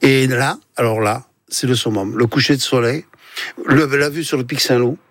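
A man's voice: medium pitch, steady tone, normal speed, no room sound. A man from Nice, a guest on a live radio show, chatting casually and reminiscing with a laugh. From Nice, France.

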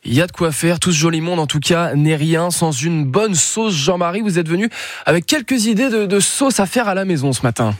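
Animateur radio enjoué, voix assez haute. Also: male voice, a little high, animated, brisk, no echo, clean studio sound. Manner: upbeat, jokey, steering the chat. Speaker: radio host. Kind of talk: radio talk show hosting.

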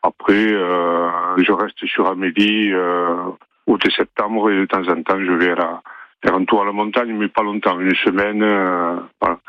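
A middle-aged man from southern France calling in to a radio show, rambling with many pauses. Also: sits low, steady tone, no echo. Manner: rambling, hesitant with many fillers. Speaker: caller. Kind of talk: phone-in chat. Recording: muffled phone-line audio.

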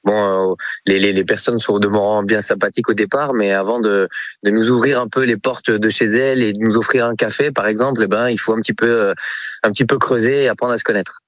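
A man's voice: fairly low pitch, steady tone, fairly quick, no room sound. A man from Belgium with a faint Belgian accent, answering casually and hesitantly as a guest in a radio interview. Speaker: interview guest, real estate agent.

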